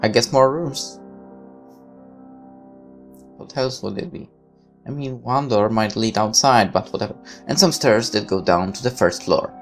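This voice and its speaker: A man reading a visual novel aloud as a let's player, in expressive narration broken up by casual asides. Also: lowish voice, a little slow, pauses often, faint music behind.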